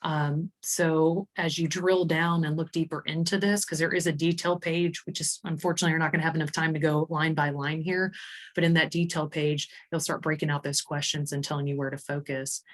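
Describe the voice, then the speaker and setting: A woman presenting a software demo in an informal, conversational way, explaining things with enthusiasm. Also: low voice, little pitch movement, brisk. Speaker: HR executive demonstrating software.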